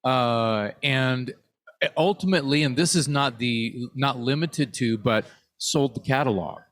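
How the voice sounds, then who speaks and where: A man's voice: medium pitch, measured pace, fairly bright tone, no room sound. Jokey co-host man, dry sound. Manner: jokey, chimes in with quips and opinions. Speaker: podcast co-host. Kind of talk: casual conversation.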